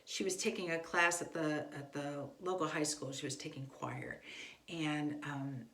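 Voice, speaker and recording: Female homeschool instructor, middle-aged, slightly low register, informal and conversational, echoey room recording. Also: steady tone, unhurried, fairly bright tone. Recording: echoey, roomy sound.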